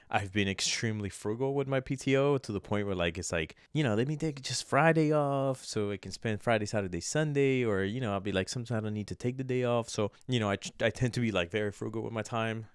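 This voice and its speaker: A man's voice: lowish voice, quick pace, few pauses, clear, resonant tone, no room sound, studio quality. A man co-hosting a podcast in casual, rambling chat. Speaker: podcast co-host. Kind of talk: casual chat about personal goals and video games.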